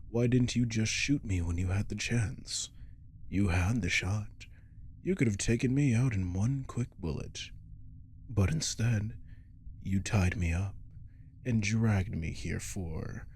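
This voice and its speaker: A man's voice: sits low, a little mellow, a little hiss or hum, heavily acted. A man voicing a captive werewolf in an ASMR roleplay, cocky and predatory, with taunting, flirtatious drawls that often drop toward a whisper. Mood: taunting bargaining. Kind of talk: ASMR roleplay audio drama.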